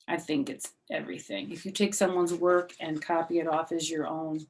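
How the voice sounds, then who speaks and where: A woman's voice: low-pitched, steady tone, normal speed, a little hiss or hum. A woman storyteller reading a children's book aloud, addressing young listeners directly in simple words.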